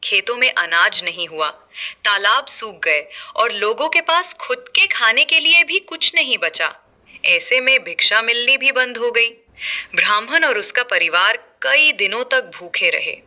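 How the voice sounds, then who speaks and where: A woman's voice: on the high side, big pitch swings, unhurried, bright, forceful tone. A woman telling a moral folk tale as a scripted narration. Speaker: storyteller.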